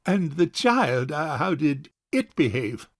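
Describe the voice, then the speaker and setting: An actor playing a fussy, miserly rich old bachelor uncle in a comic farce, speaking in a pompous, hesitant, formal way. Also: male voice, on the high side, big pitch swings, takes it slowly, dry recording, studio quality, heavily acted. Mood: pompous, awkward. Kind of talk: comic stage farce acting.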